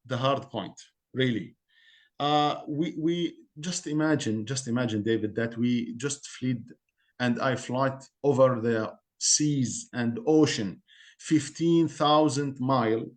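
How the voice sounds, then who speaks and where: A man from Syria with an Arabic accent, a community guest speaking earnestly in halting English and repeating words as he searches for them. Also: a little high. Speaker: guest, Assyrian community member. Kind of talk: interview on a call-in podcast.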